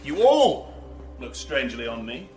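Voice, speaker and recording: A man's voice: mid-range voice, very animated, a little mellow, faint music behind. A man playing a newly crowned young king, grave, uneasy and watchful, in a Shakespearean radio drama. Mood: grave, pointed. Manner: measured, solemn.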